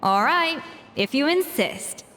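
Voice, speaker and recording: A woman's voice: high-pitched, lively intonation, takes it slowly, background noise. A woman voicing a proud, exacting but caring master chef in a video game, composed and articulate. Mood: assured. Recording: reverberant, background music.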